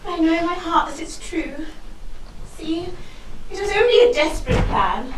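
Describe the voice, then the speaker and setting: A woman's voice: very high voice, lively intonation, normal speed. A woman playing a naive, lovelorn young Victorian heroine, plaintive and melodramatic in a comic stage play. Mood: despairing, plaintive. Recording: echoey hall from far off, noisy.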